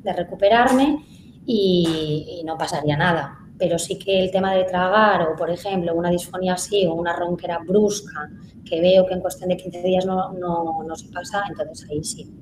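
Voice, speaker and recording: Speech therapist, woman, lowish voice, hesitant, roomy echo. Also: moderate pace, a little mellow. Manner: hesitant, many fillers. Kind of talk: live conference Q&A. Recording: echoey room, faint noise.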